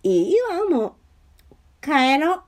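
A woman's voice: sits high, big pitch swings, slow, exaggerated voice. A woman playing a proud, lovesick teenage schoolgirl in an amateur radio drama, talking to herself dramatically. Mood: dejected. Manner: dramatic, tsundere-like, talks to herself.

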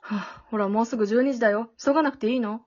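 A woman acting a blunt, exasperated but protective teenage girl in an amateur radio drama, dry and sharp-tongued. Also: a little high, fast, slightly rough. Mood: exasperated, reluctant. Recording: dull, lo-fi audio.

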